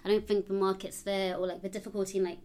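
A woman's voice: mid-range voice, little pitch movement, very fast, darkish tone. A young woman from Uganda, chatting casually with many fillers. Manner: chatty, lots of likes and ums. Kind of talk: casual chat.

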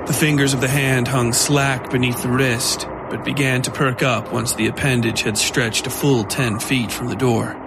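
A man with a medium-pitched voice narrates a horror story. His delivery is scripted and dramatic, and music plays clearly behind him. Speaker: narrator of a horror fiction podcast.

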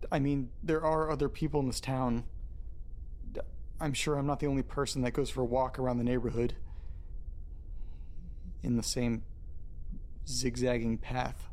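An anxious, self-doubting man played by an actor in a horror audio drama. He mutters to himself in halting, groggy phrases with sighs and swearing, in a medium-pitched voice. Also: darkish tone, natural voice.

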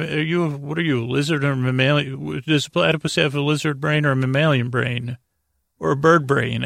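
A man hosting a bedtime podcast in a gravelly voice, rambling haltingly and repeating words as he drifts into goofy, gently silly tangents. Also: medium pitch, no echo, studio quality. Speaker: host of a bedtime sleep podcast. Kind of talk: rambling, meandering bedtime monologue.

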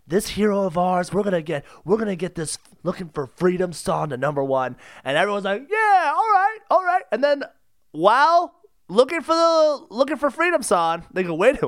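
A man co-hosting a podcast in casual, jokey chat, reacting in an excitable way. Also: high-pitched, dry recording, studio quality. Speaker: podcast co-host.

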